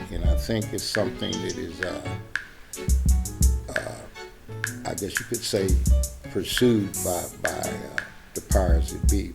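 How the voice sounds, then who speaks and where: Gravelly low-voiced older man. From Pittsburgh, Pennsylvania. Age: 60s. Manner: hesitant, halting with many fillers. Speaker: interviewee. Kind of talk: casual opinion interview.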